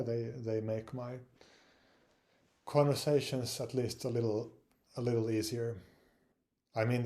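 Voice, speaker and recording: A man from Finland giving casual advice on language learning, speaking English with frequent pauses, fillers and repeated words. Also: lowish voice, warm tone. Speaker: language learning channel host.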